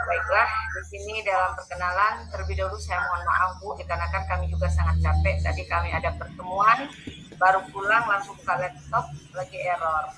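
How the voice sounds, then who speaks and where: A woman in her fifties, a school principal, speaking politely and haltingly in an informal introduction on a video call. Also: fairly low pitch, low-quality recording. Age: 50s. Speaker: school principal and training mentor.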